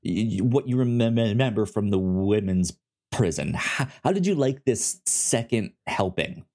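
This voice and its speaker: A man's voice: on the low side, expressive, normal speed, a little mellow. A young man co-hosting a casual podcast chat, stammering hard on the first sounds of words. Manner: stutters heavily on first sounds. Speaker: podcast co-host.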